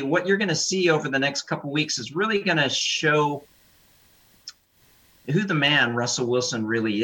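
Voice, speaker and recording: A sports chaplain and coach, this man has a bright, forceful tone with a raspy edge. He talks casually but reflects on character and values. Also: medium pitch. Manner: reflective, moralizing commentary. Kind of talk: casual panel discussion.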